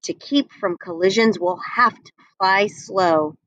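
A woman's voice: mid-range voice, unhurried, fairly bright tone, no room sound, lo-fi sound, close to an everyday voice. A woman voicing Santa, a round, worried old man, calling commands in a storybook reading.

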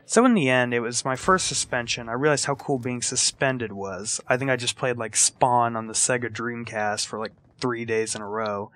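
A man's voice: medium pitch, normal speed, hardly any pauses. A young man casually telling a personal story as a gaming commentary, acting out quotes and swearing freely. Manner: animated retelling with acted-out quotes and casual swearing. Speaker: storyteller and gaming video creator. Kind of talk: casual storytelling over gameplay.

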